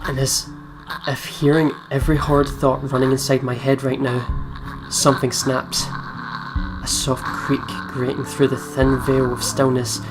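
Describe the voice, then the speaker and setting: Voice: medium pitch, flat delivery, a little slow, over music, low-quality recording. A game video host reading a horror visual novel aloud dramatically, with casual asides.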